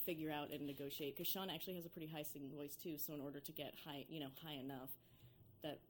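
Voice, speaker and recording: A young woman with a low speaking voice talks casually about her music, filling her sentences with "like" and "you know". A faint background hiss sits under the voice. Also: fairly even intonation, quick pace. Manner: chatty, many fillers like "like" and "you know". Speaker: violinist and singer in a duo. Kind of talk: casual interview chat.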